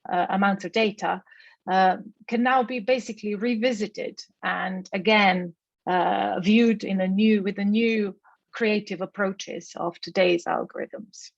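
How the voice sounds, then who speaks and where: A woman's voice: medium pitch, steady tone, unhurried, creaky, dry recording, highs cut off. A woman, a native Slovenian speaker with a Slovenian accent, gives an academic keynote lecture in an explanatory manner, posing rhetorical questions.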